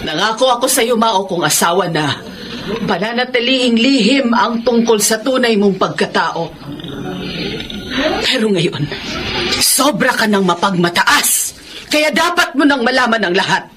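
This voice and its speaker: A woman's voice: on the high side, expressive, unhurried, a bit bright. An actress playing a stern, indignant older mother who scolds emphatically in a Filipino radio drama. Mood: stern, grave. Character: stern, indignant older mother confronting her adult son. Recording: music behind the voice.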